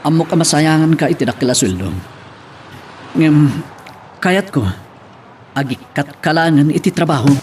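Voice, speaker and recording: Earnest, high-pitched young husband played by a man. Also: lively intonation, normal speed, long pauses, lightly acted. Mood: concerned. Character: caring young husband in uniformed service, earnest, gentle. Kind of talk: Ilocano audio drama acting.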